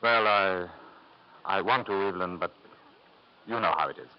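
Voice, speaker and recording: An actor playing a family doctor and fond old friend in a 1950s radio drama, reserved, earnest and quietly disapproving. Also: male voice, sits low, moderate pace, close to an everyday voice. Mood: fond, guarded. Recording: muffled, noisy 1950s radio broadcast.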